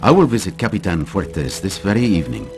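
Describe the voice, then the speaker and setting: An actor playing a dignified older nobleman and protective father in a game, speaking in a courtly, measured, authoritative way. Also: deep voice, big pitch swings. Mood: calm, reassuring. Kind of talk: video game cutscene acting. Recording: loud music behind the voice.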